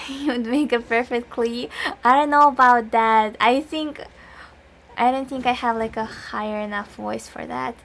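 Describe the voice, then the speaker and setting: A young woman streaming a game from home, chatting and rambling between laughs. Her voice sits fairly high. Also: steady tone, a little slow, gravelly, a little hiss or hum. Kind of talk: casual game commentary.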